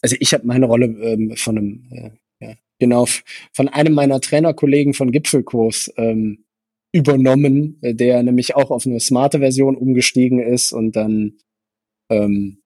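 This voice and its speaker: A man's voice: medium pitch, steady tone, moderate pace, dark tone, dry recording. A man who co-hosts a podcast and coaches running, explaining things at length with many hesitations. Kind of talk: casual chat.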